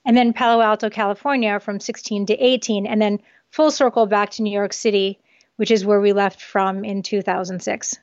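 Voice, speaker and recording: A woman's voice: mid-range voice, fairly even intonation, moderate pace, a little mellow, dry recording. East Coast woman on a dull, dry remote line. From United States, East Coast. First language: Japanese. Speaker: guest author. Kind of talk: casual interview.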